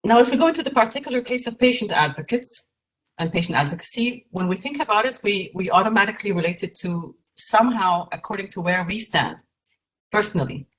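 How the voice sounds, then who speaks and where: A woman from Egypt giving a lecture over a video call, measured and with many fillers and restarts. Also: medium pitch, animated, fairly bright tone, low-quality recording. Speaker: keynote speaker, patient advocate.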